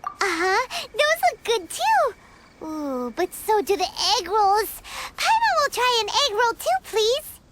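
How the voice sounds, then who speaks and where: Very squeaky, excitable little fairy. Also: female voice, slow, noisy background, full character voice. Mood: greedy, eager. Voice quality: squeaky, childlike. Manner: refers to self in third person, exuberant. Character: tiny childlike floating fairy companion, cheerful, greedy for food. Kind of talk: anime video game voice acting. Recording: game music behind voice.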